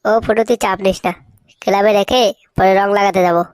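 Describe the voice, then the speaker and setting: A man's voice: high voice, steady tone, fast talker, clear, resonant tone, no echo, studio quality. Brash, slangy young cartoon layabout acted by a man. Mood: impatient, bickering. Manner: swaggering, slangy. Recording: faintly noisy cartoon dub, birdsong behind.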